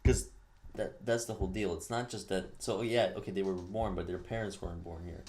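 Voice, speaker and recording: A man's voice: sits low, steady tone, a little fast, darkish tone. A young man co-hosting a podcast in casual chat, laid-back, agreeing a lot and then pushing back. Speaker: podcast co-host.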